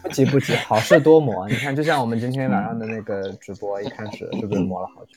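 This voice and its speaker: A man in a casual group chat as a voice actor guest, speaking in hesitant, halting phrases. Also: mid-range voice, music in the background.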